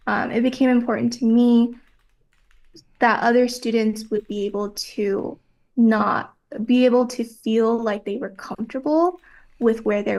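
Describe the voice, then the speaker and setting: Young woman with a gravelly edge, reflective. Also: fairly high pitch. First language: Vietnamese. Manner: measured, reflective, with frequent "um". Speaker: interviewee.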